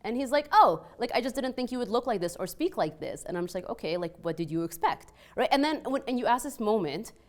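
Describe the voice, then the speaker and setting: A woman's voice: fairly high pitch, quick pace, few pauses. A woman in her thirties from Egypt with a light Egyptian Arabic accent, telling personal stories on a panel in a chatty way, full of "like" and "you know". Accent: slight Egyptian Arabic, mostly Canadian-sounding. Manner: animated and chatty, many "like" and "you know" fillers, acts out quoted exchanges. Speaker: panelist, restaurant owner and peace scholar. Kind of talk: casual personal storytelling on a panel.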